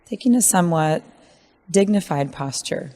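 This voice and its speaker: A woman guides a meditation in a very low-pitched voice for a woman, with a dark, mellow tone. She instructs gently, in measured phrases separated by long pauses. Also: expressive. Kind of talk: guided body scan meditation.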